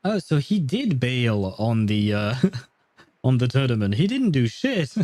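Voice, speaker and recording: A man's voice: medium pitch, big pitch swings, unhurried, darkish tone, no echo. A man casually commentating on a game stream, with a blunt, wry and often profane manner, close to the mic. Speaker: livestreamer.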